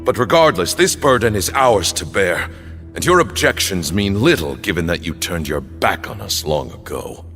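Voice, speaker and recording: An actor playing a frail, proud old man who leads a temple, speaking in slow, stately pronouncements between coughing fits. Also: deep voice, very animated, fairly bright tone, soft background music, exaggerated voice. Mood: stern. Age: older. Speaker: temple leader. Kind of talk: video game cutscene acting.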